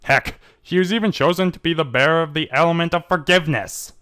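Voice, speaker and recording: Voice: mid-range voice, fast talker, fairly bright tone, smooth, no echo, clean studio sound. An amateur narrator giving a dramatic storybook reading of fan fiction.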